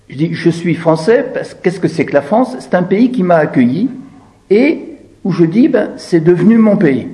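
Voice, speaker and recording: Man lecturing in a lively, swooping voice. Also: a little high. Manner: didactic, asks rhetorical questions and answers them, repeats key phrases for emphasis. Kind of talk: explanatory talk, commenting on quoted text.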